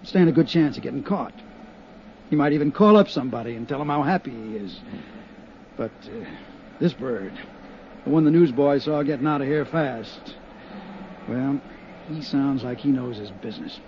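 An actor playing a steady, practical male investigator who talks in a measured, matter-of-fact way, in a muffled 1950s radio drama. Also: mid-range voice, natural voice. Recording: muffled 1950s radio broadcast with faint hiss.

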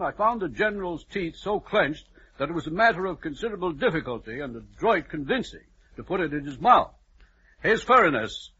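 An actor playing a fussy, pompous, clinical old-fashioned doctor giving testimony in an old-time radio drama. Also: male voice, on the high side, pushed and bright, husky, heavily acted. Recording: muffled old radio recording.

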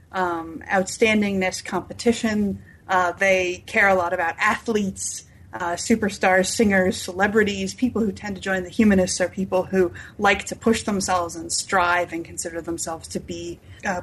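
A woman with a slightly rough, husky voice at a middle pitch gives an unscripted interview as an author. She explains things keenly and in detail, often listing items one by one. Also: rough recording. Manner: enthusiastic, detailed, enumerating explainer. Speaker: author being interviewed about their novel.

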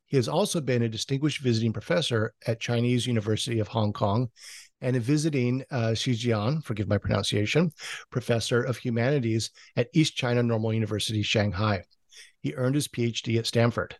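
A man hosting a podcast interview, introducing and questioning his guest with hesitant pauses between phrases. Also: mid-range voice, no room sound.

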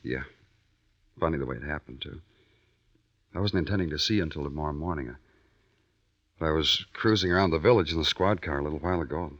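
Very deep-voiced easygoing deputy. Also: male voice, exaggerated voice. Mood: wry, relaxed. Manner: folksy, unhurried, gentle. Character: easygoing, reassuring male small-town deputy sheriff. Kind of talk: 1940s radio acting. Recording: muffled vintage radio recording.

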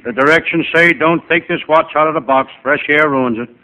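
A male comedian playing a wisecracking, hapless private eye, narrating in deadpan first person in old-time radio comedy style. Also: fairly high pitch, a little fast. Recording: noisy, tinny vintage radio recording.

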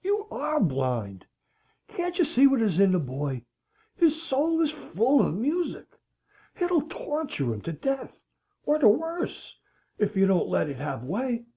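Lady doing a gruff old rustic guy from a storybook, husky, very swoopy pitch, stubborn n pleading, bit muffled. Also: wildly swooping pitch, slow, mellow, exaggerated voice. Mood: angry, pleading. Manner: folksy, rustic phrasing.

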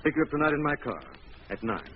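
A man playing a calm, polished, take-charge gentleman in a 1940s radio mystery. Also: a little high, fast, music in the background. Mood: composed, reassuring. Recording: dull, noisy old radio recording.

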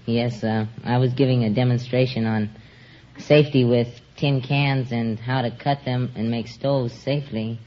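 Teen male contestant, medium-pitched, earnest and polite, on a muffled vintage radio recording. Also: steady tone, normal speed, a little mellow. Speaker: show contestant, Boy Scout. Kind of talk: earnest interview answers. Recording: muffled old radio recording, faint noise.

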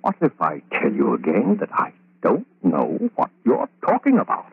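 An actor in an old-time radio horror play, voicing a sly, genial old murderer in a husky, fussy, hemming way. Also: male voice, low-pitched, wildly swooping pitch, moderate pace, no room sound, heavily acted. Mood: guarded, cold. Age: older. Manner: fussy, hemming, repeating himself, chuckling. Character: sly, genial old madman who murders the people he hires. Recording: tinny band-limited vintage radio recording.

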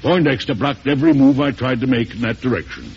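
An actor playing a proud, stubborn older American president in a folksy, emphatic old radio drama style. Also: male voice, mid-range voice, expressive, fast talker, a little mellow, slightly rough. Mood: reflective. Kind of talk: 1940s radio drama acting, narrating and playing. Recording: hissy, dull old radio recording.

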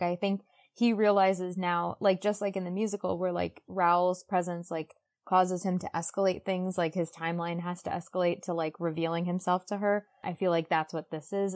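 A woman co-hosting a podcast in casual conversation, irreverent and sweary, jokingly acting out imagined quotes. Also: fairly low pitch. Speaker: podcast co-host. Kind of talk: casual chat, book discussion podcast.

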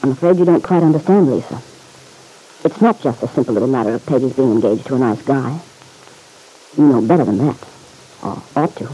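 Male actor for insistent, slangy man in vintage radio drama; soft dark tone, rough grain, pressing and blunt. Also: mid-range voice, brisk, pauses often, close to an everyday voice, low-quality recording. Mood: probing. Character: stern, insistent man pressing a woman for the truth. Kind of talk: old-time radio serial acting.

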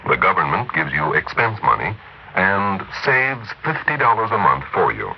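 An American male announcer reads a scripted radio appeal in a creaky, very low voice. His intonation moves widely. The old recording is slightly muffled and noisy. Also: very animated, moderate pace. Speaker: announcer of a public service ad. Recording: dull old radio recording, faint noise.

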